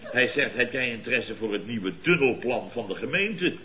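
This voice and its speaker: An actor playing a blustering, indignant middle-aged boss of a building firm in a radio comedy, exclaiming and repeating himself. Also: male voice, mid-range voice, expressive, moderate pace, pushed and bright, a little hiss or hum. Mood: agitated storytelling.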